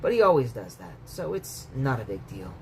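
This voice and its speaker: A man's voice: medium pitch, very animated, normal speed, rough recording. A man voicing a modest, anxious young boy in a storybook read-aloud. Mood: downplaying worry. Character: young boy, anxious, modest child martial arts pupil. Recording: clear background noise.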